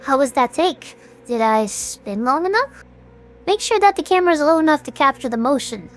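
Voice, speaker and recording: A woman's voice: sits high, animated, moderate pace, soft background music. A woman in an audio drama playing a headstrong, driven young idol with a blunt, insistent manner. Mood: excited, busy. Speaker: voice actor in fan audio drama.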